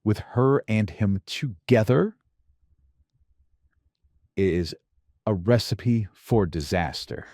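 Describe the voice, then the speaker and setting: Man playing a low-voiced calculating corrupt spy chief. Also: animated, slow pace, long pauses, a little mellow, dry recording, clean studio sound, heavily acted. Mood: calculating, grave. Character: corrupt CIA director, calculating, secretly villainous man. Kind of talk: radio drama dialogue on a phone call.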